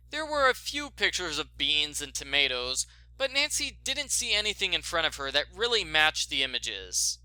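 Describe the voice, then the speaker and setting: Bright, lively male story narrator. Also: fairly high pitch, no echo, low-quality recording. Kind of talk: scripted fan fiction narration.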